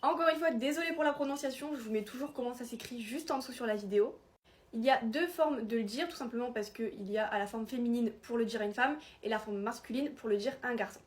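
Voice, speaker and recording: A young woman speaks with a slight Italian accent in a fairly high voice. She chats casually to her viewers, stumbles and restarts often, and laughs off her own mistakes. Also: quick pace. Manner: chatty, self-deprecating, frequent restarts and fillers. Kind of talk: casual explainer talking to camera.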